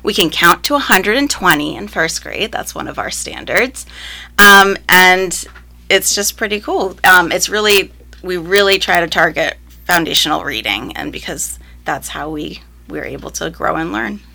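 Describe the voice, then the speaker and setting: A woman's voice: fairly low pitch, animated, moderate pace, creaky, pushed and bright. A young woman teacher answering interview questions in a casual, upbeat way, with frequent ums and likes, raised speaking both Spanish and English. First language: Spanish, alongside English. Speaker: first grade teacher, interviewee.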